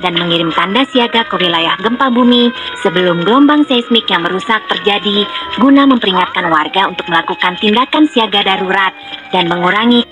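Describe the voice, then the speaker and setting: A woman voicing a cheerful young panda tour guide in a children's educational game, speaking clearly and instructively. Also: medium pitch, a little fast, lightly acted. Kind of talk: scripted educational narration for children. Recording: music behind the voice.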